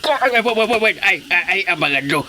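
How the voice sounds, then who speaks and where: An actor playing an addled, excitable old Filipino soldier in a comedic radio drama, erratic and repeating his exclamations. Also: high voice, fairly quick, full character voice. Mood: agitated, manic. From Philippines. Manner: repetitive exclamations, giggly, erratic. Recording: noisy radio drama track.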